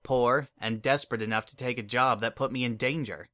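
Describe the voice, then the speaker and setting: A reader giving a dramatic first-person reading of fiction, measured and reflective. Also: medium pitch, steady tone, sharp tone. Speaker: audiobook reader.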